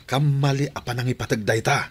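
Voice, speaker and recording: A man's voice: medium pitch, steady tone, a little fast, slightly rough, natural voice. A man with an Ilocano accent playing a stern but reasonable father in a radio drama.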